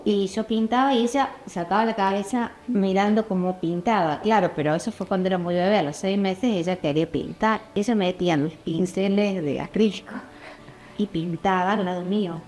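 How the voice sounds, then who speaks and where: A woman's voice: mid-range voice, normal speed, soft background music. Middle-aged Argentine mother telling anecdotes. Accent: Argentine Spanish. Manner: animated anecdote-telling, acting out quotes. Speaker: painter's mother. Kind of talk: casual family reminiscing.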